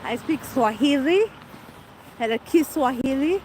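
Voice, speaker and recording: A woman's voice: high voice, expressive, unhurried, darkish tone, slight background noise, rough recording. A woman in her forties, born in Kenya with Kikuyu as her first language, chatting unhurriedly on a walking livestream with frequent restarts, speaking into a phone held as she walks outdoors. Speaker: livestream host. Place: outdoors on a winter street.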